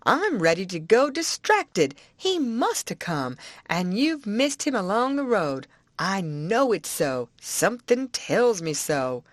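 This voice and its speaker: A woman voicing an excitable older farm wife who gushes in a breathless rush. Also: mid-range voice, slow pace, no room sound. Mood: fretful. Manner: gushing, breathless chatter.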